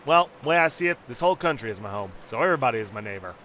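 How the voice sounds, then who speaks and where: A man's voice: mid-range voice, animated, rapid-fire, bright, forceful tone, slight background noise. A man voicing a cheerful, joshing hobo from a video game, with folksy, jovial phrasing. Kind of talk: read-aloud game character dialogue.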